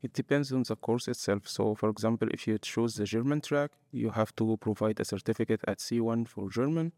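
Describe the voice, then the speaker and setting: Man, young adult, lowish voice, dark warm tone, earnest step-by-step explaining. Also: brisk, no room sound, studio quality. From Egypt. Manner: earnest, explains step by step in non-native English. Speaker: master's student guest. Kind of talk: podcast interview answers.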